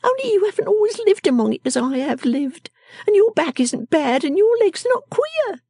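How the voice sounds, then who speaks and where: A woman voicing a sharp-tongued, sickly young girl dressmaker from a storybook, bossy, scolding and snappish. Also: extremely high pitch, big pitch swings, normal speed, dry recording, pitched above the performer's own voice, heavily acted. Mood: vengeful, sharp. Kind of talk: storybook character acting.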